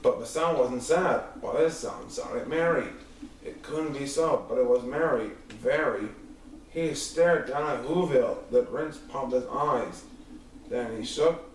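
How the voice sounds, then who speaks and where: A man reading a rhyming children's story aloud as an expressive narrator. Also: mid-range voice, measured pace. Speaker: storybook reader. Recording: very echoey room, distant mic, faint noise.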